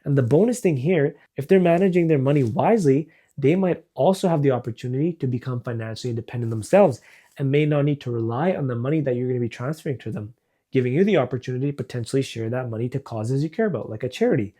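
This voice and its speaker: Mellow-voiced man giving money advice. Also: medium pitch, lively intonation, fast, husky. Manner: friendly explanatory, walks through numeric examples. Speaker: financial advisor. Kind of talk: direct-to-camera advice video.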